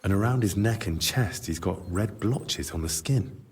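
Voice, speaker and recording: Husky, low-voiced young male investigator. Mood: pensive, observant. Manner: measured, probing, matter-of-fact. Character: young male investigator, blunt, probing, wry. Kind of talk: video game cutscene acting.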